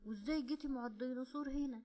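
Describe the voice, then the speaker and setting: A woman voicing a stern, good-hearted male monster with an Egyptian accent, speaking low and firm. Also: rapid-fire, dark tone, natural voice. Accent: Egyptian Arabic.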